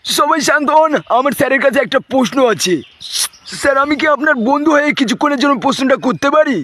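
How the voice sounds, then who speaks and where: A man voicing crude, excitable cartoon men in comic skits, swearing with exaggerated delivery. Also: very high-pitched, fast talker. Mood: chatty. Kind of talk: comic cartoon skit dubbing. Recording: loud music behind the voice. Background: music.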